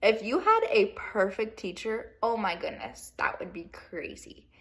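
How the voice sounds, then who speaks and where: A woman teacher reading a storybook aloud to children in an animated way, pausing to put questions to her young listeners. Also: mid-range voice. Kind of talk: expressive children's read-aloud, speaking to young pupils.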